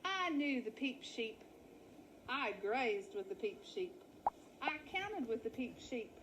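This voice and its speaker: A woman's voice: fairly high pitch, very animated, takes it slowly, a bit bright, a little hiss or hum, exaggerated voice, poor audio. A woman voicing a tough, no-nonsense old ewe in a children's storybook. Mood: suspicious, firm. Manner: firm, no-nonsense. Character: tough old suspicious female sheep, leader of flock. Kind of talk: children's storybook read-aloud.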